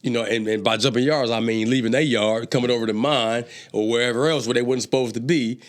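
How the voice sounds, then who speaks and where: A man's voice: mid-range voice, fast. A man, a rapper and entrepreneur, talking as the guest in a casual conversation, telling stories with profane street slang and stammering through restarts. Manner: stammers on restarts when excited.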